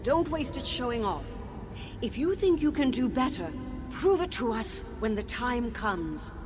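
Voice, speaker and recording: A woman voicing the shade of an elven mage teacher, regal and sorrowful, who turns stern and shrill when she scolds. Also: sing-song. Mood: scolding. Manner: solemn, measured and regal; shrill scolding in memories. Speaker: video game character. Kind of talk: game cutscene voice acting. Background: game music.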